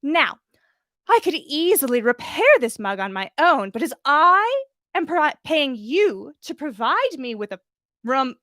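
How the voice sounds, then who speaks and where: A woman acts out a haughty, prim older witch in a read-aloud story. Her pitch sits high and swoops with indignation. She is sickly sweet and scolding, enunciating each word with condescension. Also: big pitch swings, unhurried, bright, forceful tone, no room sound, heavily acted.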